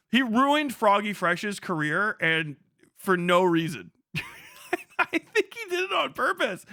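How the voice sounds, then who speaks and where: Irreverent, profane male streamer with a high voice, recorded dry and close. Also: pushed and bright, no room sound. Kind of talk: casual live-stream rant.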